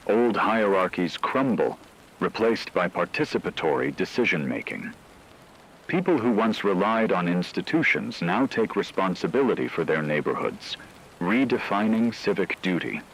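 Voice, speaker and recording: Deep male radio anchor reading formal news script, bit of rainy static behind. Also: big pitch swings, moderate pace, a bit bright, low-quality recording.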